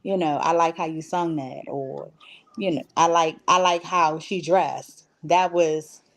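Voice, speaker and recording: A woman's voice: sits low, steady tone, measured pace. A woman giving casual, conversational interview answers as a stage actress, telling stories by acting out what people said.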